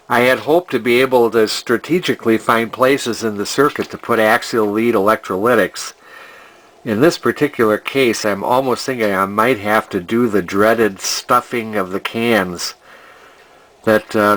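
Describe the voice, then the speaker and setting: Low-voiced older man, unhurried rambling. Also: fairly bright tone, rough recording. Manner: hesitant, rambling, thinking aloud. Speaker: hobbyist vintage TV restorer. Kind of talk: casual unscripted repair narration.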